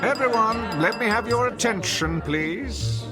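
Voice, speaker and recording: An actor playing a cruel, sardonic party host in a radio drama, speaking with pompous, arch, biting wit. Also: male voice, sits high, expressive, a little slow, loud music behind the voice. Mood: commanding, theatrical.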